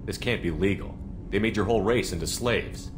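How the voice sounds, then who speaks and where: An actor playing a calm, principled military commander in a video game, speaking plainly and directly. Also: male voice, lowish voice, fairly quick, lightly acted. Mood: probing. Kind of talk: video game character dialogue. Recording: noticeable background ambient noise.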